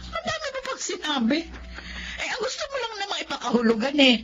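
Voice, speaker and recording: A woman's voice: very high voice, huge pitch swings, a little fast, music in the background, exaggerated voice. A woman playing a domineering, easily offended older mother-in-law in a Filipino radio drama, bossy, indignant and emphatic. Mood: indignant, scolding. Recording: dull lo-fi audio with faint noise.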